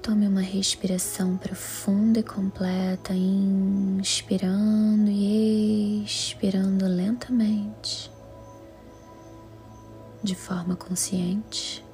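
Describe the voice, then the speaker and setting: A Brazilian Portuguese woman leads a guided meditation in a calm, soothing, measured way, her voice soft, breathy and half-whispered. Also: medium pitch. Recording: music under the voice. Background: music.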